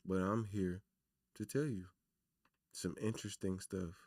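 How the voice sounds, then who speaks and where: A man hosting a casual livestream, talking loosely and chattily to his viewers with a naturally raspy voice. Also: sits low, fairly even intonation, a little slow, darkish tone, dry recording, clean studio sound. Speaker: livestream host.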